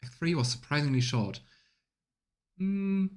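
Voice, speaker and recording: A man's voice: on the high side, lively intonation, measured pace. A man casually commentating on a video game, musing aloud and chatting with his viewers as he plays. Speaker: streamer doing a voiced let's play.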